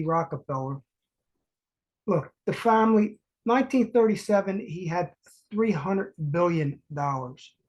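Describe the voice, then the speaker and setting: A man hosting a solo podcast, congested from allergies, talking casually with many restarts and repeated words. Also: high-pitched. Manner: repetitive, restarting, uneven emphasis. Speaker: podcast host.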